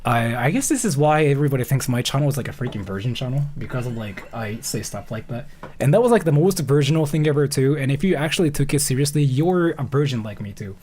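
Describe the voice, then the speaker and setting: A man's voice: mid-range voice, animated, a little mellow, a little hiss or hum. A man chatting casually on a livestream as he comments, rambling and often repeating himself. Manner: rambling, repeats phrases, halting. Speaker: live streamer reacting to a video.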